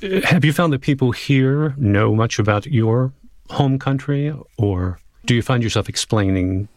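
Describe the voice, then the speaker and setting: A man's voice: medium pitch, animated, unhurried, dry recording, studio quality. A male radio host asking brief, polite interview questions.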